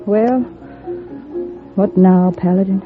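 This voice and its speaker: An actress playing a proud, bitter young frontier woman, defensive and sharp-tongued, in a radio Western. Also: mid-range voice, fairly even intonation, slow pace, music in the background, natural voice. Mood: pleading, anxious. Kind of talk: 1950s radio Western acting. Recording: tinny, band-limited 1950s radio recording.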